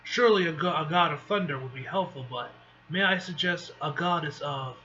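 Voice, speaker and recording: A man voicing a grave, formal telepath leader of mutant heroes from a comic book, in a subdued, halting way. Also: fairly high pitch, pushed and bright. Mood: grave, composed. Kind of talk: amateur comic book read-aloud acting. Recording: dull lo-fi mic with faint noise.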